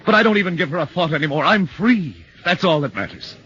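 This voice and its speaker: An actor playing an excitable, self-satisfied man just cleared in a 1940s radio drama, eager and effusive. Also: fairly high pitch, fast talker, full character voice. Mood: relieved, elated. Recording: dull 1940s radio recording.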